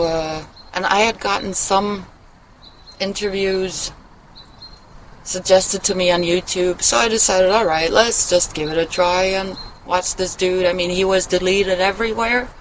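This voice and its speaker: A woman's voice: on the low side, low-quality recording. A middle-aged woman vlogger chatting casually and confessionally to camera, rambling and candid, with casual swearing. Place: outdoors in a wooden shelter in the woods. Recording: clear outdoor ambient noise.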